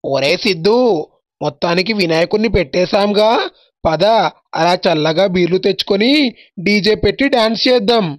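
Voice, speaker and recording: A man's voice: high-pitched, normal speed, pauses often, dry recording, lightly acted. A man playing a carefree, rowdy young village drinker who loves partying, in a moral story cartoon. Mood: carefree, excited.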